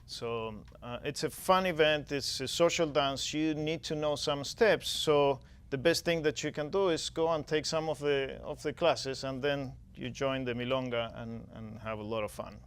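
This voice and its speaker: A man's voice: medium pitch, fairly even intonation, normal speed, few pauses, clean tone. A man who directs a music festival, explaining it in a TV studio interview step by step, with frequent restarts.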